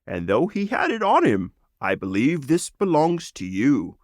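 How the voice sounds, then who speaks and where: A man's voice: fairly high pitch, wildly swooping pitch, a little slow, dry recording, studio quality, pitched above the performer's own voice. A man voicing a proud, noble lizard wizard in a storybook, speaking in a solemn, declamatory way.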